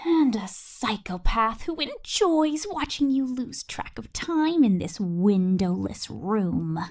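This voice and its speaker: A woman playing a female killer robot captor, ASMR roleplay, high breathy whisper, slow, taunting, possessive, cruel. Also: sing-song, darkish tone, full character voice. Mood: threatening, domineering. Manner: menacing, taunting, slow and deliberate.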